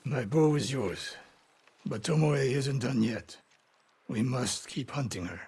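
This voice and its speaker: An actor playing a proud, bitter older samurai archery master in a video game, speaking in a stern, formal, clipped way, with music behind him. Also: male voice, mid-range voice, expressive, slow, darkish tone, slightly rough, exaggerated voice. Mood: guarded, curt. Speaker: archery sensei. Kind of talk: dramatic video game voice acting.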